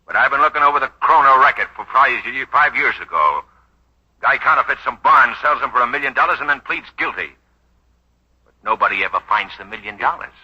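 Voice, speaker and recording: An actor playing a suspicious, sarcastic police detective who badgers bluntly, in a 1940s radio drama. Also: male voice, medium pitch, lively intonation, brisk, breathy edge. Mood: accusing. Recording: dull, lo-fi 1940s radio recording.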